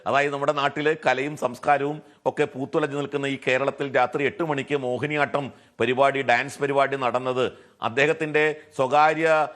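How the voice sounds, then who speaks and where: Higher-pitched Malayali man arguing in debate. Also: fairly bright tone. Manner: argumentative, poses rhetorical questions. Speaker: debate panelist. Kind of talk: television debate argument.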